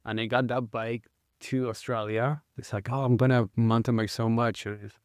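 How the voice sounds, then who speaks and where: A man in his thirties from Chile, with a Chilean Spanish accent, talking as a guest in a casual interview, halting and full of fillers and restarts. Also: medium pitch, flat delivery, smooth, dry recording, studio quality. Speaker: guest, mountain bike guide.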